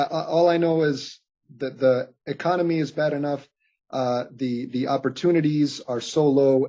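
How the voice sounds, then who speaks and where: A man in his late twenties from Bosnia speaks as a podcast guest, earnest and halting. His voice is husky and a bit breathy. The audio is dull and lo-fi. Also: mid-range voice, dark tone. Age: late 20s. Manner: earnest, halting with many fillers and restarts. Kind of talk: reflective interview conversation.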